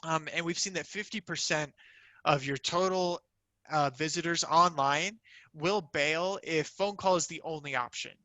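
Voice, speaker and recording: A man's voice: fairly high pitch, a little slow, sharp tone, dry recording. A man giving an informal, upbeat sales presentation as a company representative, conversational and peppered with "you know".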